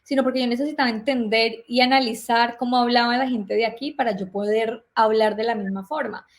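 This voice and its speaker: Guest, young Colombian woman, slightly high voice, chatty storyteller who acts out conversations. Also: fairly quick. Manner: lively storytelling, plays out remembered dialogue. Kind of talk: casual interview talk.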